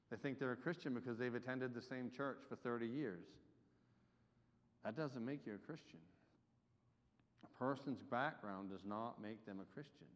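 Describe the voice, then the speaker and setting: A man preaching a sermon, with emphatic delivery that swells and drops in loudness. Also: medium pitch. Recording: dull reverberant hall recording.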